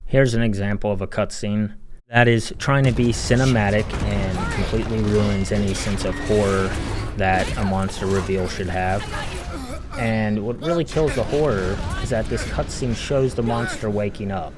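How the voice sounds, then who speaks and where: A man's voice: lowish voice, normal speed, music in the background. A man giving opinionated, analytical commentary as a video game critic, talking in an emphatic, conversational way.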